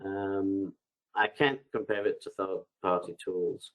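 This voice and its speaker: A man with a very low voice, thick and stuffy from a heavy cold, answering audience questions live over a headset. He reads each question out, then replies loosely with plenty of ums and restarts. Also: fairly even intonation, slow pace, a bit bright, poor audio. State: congested from a bad cold. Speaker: product expert answering webinar questions. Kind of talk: live webinar Q&A, unscripted. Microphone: headset on a video call.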